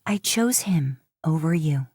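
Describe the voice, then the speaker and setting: Young tribeswoman voiced by a woman, firm, determined, measured, dry close sound. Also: sits low, animated, darkish tone, breathy edge, no room sound, exaggerated voice.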